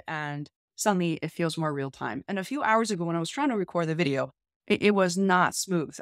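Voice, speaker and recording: A woman's voice: fairly low pitch, dry recording, clean studio sound. A woman in her thirties talking casually and chattily to camera, like a tech vlog host. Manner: chatty, informal. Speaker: host, tech content creator. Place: small room at home, indoors.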